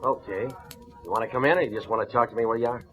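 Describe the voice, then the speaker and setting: A man's voice: medium pitch, rapid-fire, natural voice. A man playing a cool, wisecracking murder suspect in a 1940s radio crime drama, laconic and defiant. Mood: cool, cocky. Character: cocky, unruffled male murder suspect, wisecracking and streetwise. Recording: dull, muffled vintage radio recording.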